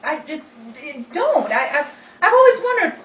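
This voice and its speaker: A woman's voice: high-pitched, very animated, moderate pace, fairly bright tone. A woman acting a dramatic, bantering character with exclamatory delivery in a stage comedy. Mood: gushing, flustered. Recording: echoey, distant stage recording with faint noise.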